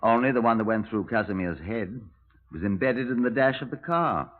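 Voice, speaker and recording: An actor playing a wry, genial British police superintendent in clipped 1950s radio drama style, on a vintage recording. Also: male voice, medium pitch, lively intonation, normal speed, close to an everyday voice. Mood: brisk. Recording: tinny, narrow-band vintage radio recording.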